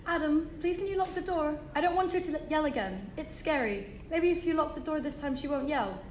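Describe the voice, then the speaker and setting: A woman's voice: sits high, a little fast, lightly acted. A woman voicing a timid, naive, childlike imaginary girl in a script table read. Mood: frightened, pleading. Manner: innocent, timid. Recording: echoey, distant, noisy room recording.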